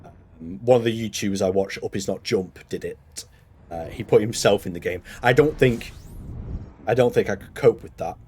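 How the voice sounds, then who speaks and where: A man's voice: lowish voice, normal speed, darkish tone, husky. A man with a Mancunian accent chatting casually while live-streaming a game, rambling and laughing often. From Manchester, England.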